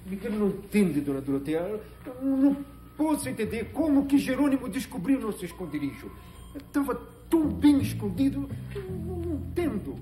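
A man's voice: extremely high pitch, big pitch swings, a little fast, mellow, rough recording. A man acting a hapless, submissive bandit henchman in a Brazilian telenovela, plaintive, hesitant and apologetic. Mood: baffled, defensive. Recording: old TV soundtrack under music.

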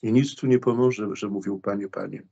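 Middle-aged male priest, lowish voice, earnest and halting, webcam call from a small room. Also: fairly even intonation, vocal fry, warm tone, slightly rough, lo-fi sound. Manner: earnest, hesitant, many fillers and restarts. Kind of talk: religious talk in a video interview. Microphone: video call webcam.

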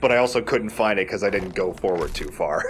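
A man's voice: fairly low pitch, lively intonation, a little fast, heavy background noise. A man giving casual, explanatory game commentary with rambling asides. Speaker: let's play commentator.

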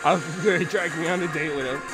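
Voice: medium pitch, fast, over loud music, pitched below the performer's own voice, close to an everyday voice, low-quality recording. A let's player voicing a meek, hesitant schoolboy hero of a visual novel, flustered and apologetic.

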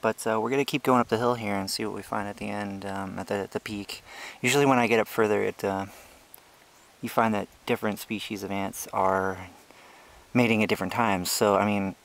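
A young man with a slightly low voice talks through his outdoor trail hike in a loose, chatty way, full of ums and restarts. He is recorded on the handheld camera he carries while walking and filming. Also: a little fast, creaky. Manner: relaxed, chatty, explaining with many fillers. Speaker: ant-keeping channel host. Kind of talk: casual hiking vlog narration. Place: outdoors on a brushy hiking trail.

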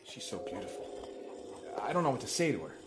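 An actor plays a nervous man of thirty in an audio drama, panicky and self-deprecating. His pitch is mid-level and very lively, with noisy ambience behind. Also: quick pace, natural voice. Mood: nervous, flustered. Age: 30s. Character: flustered, insecure lovesick man of thirty.

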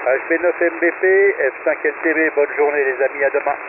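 A man's voice: a little high, brisk. A man, a ham radio operator, chatting casually over the air and giving signal reports, hesitant with many fillers and restarts. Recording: tinny shortwave radio with hiss.

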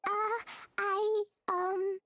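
A woman's voice: very high voice, little pitch movement, extremely slow, a bit bright, no echo, clean studio sound, heavily acted. A woman voicing an innocent, earnest and mischievous little girl in a video game, cutesy and naive. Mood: guilty. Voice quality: childlike, cutesy. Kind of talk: video game character acting.

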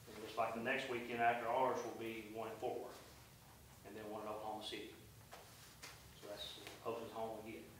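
A man's voice: lowish voice, completely flat delivery, a little fast, background noise. A man addressing a city council at length, folksy and rambling with many fillers. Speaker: bull riding event organizer. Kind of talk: public comment at council meeting. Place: council meeting room. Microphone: far room camera. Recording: echoey room from far off.

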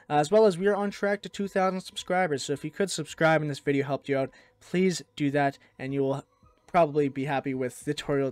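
A man hosting a software tutorial, narrating in a casual, conversational way. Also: on the high side, no echo. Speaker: tutorial host.

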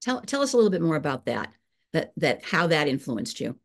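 A woman in her sixties leads an interview over a video call from a room at home. Her voice sits a little low, and she affirms her guest before asking thoughtful questions. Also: big pitch swings, brisk. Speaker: interview moderator.

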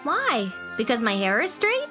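A woman playing an excitable, dramatic teenage monster girl in an audio drama, with a gushing manner. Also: fairly high pitch, unhurried. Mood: puzzled. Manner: gushing, dramatic, breathless lists. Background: music.

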